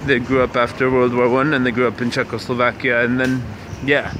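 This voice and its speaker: Young male vlog host from Canada, mid-pitch, rambling street monologue, clear city noise behind. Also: flat delivery, fairly quick. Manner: rambling, musing, many fillers and restarts. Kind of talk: casual walking vlog monologue.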